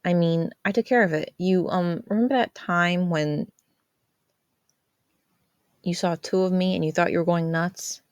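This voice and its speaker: A woman's voice: lowish voice, fairly even intonation, measured pace, long pauses, clear, resonant tone, dry recording, close to an everyday voice. Woman voicing a sheepish, casual teenage boy. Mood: confident, casual. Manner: hesitant, casual, defensive. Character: sheepish, evasive teenage boy, younger brother with a secret.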